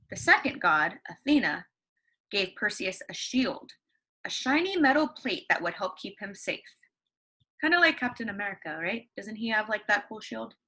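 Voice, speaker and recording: Bright-voiced woman teacher reading aloud. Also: a little high, slightly rough, low-quality recording. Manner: chatty, lots of fillers and questions to children. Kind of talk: reading a story aloud to a class.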